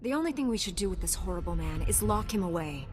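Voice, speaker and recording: A woman's voice: medium pitch, animated, fast talker, lightly acted. Woman as a resolute heroic knight over music. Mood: stern. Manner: composed, firm, formal. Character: resolute, righteous young female Jedi knight. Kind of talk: scripted video game cutscene acting.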